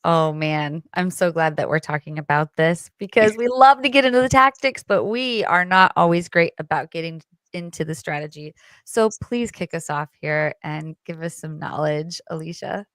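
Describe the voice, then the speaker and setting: A woman's voice: low voice, lively intonation, normal speed, hardly any pauses, fairly bright tone, no room sound. A woman from Illinois hosting a live stream panel, upbeat and effusive as she leads the talk over a video call.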